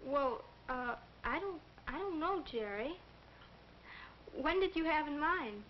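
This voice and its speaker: A polite, sensible teenage girl in a 1940s social guidance film. She speaks high and courteously, over a muffled soundtrack. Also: lively intonation, slow pace, slight background noise. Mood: polite, hesitant. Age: young adult. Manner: neat, courteous. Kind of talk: 1940s instructional film acting.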